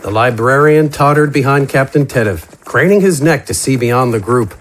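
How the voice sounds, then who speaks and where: A man's voice: mid-range voice, expressive, normal speed. A man narrating a fantasy audio drama in a scripted, dramatic storytelling style.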